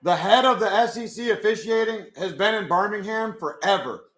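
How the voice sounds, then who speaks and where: A young man with a hoarse voice, chatting casually with his live chat as he watches a football game. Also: high-pitched, normal speed, a bit bright, echoey room. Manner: chatty, swears, talks to chat. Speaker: live stream host.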